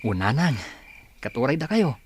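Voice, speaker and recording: An actor with an Ilocano accent playing a humble, polite blind young singer and devoted son in a radio drama. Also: male voice, mid-range voice, big pitch swings, normal speed, darkish tone, lightly acted. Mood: gentle, reassuring. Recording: clear background noise, chirping crickets.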